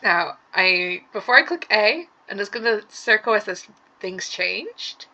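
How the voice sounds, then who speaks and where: A woman with a hoarse voice from a sore throat, casually commenting on a game she is playing and explaining its details step by step. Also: medium pitch, animated, measured pace, bright, forceful tone, lo-fi sound, slight background noise. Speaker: let's play gamer. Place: room at home.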